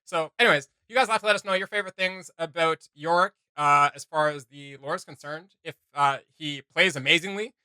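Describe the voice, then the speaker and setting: A man's voice: on the high side, brisk, hardly any pauses, sharp tone, clean tone, no room sound. A man hosting a reaction video with casual, off-the-cuff commentary, blunt and sarcastic as he rambles.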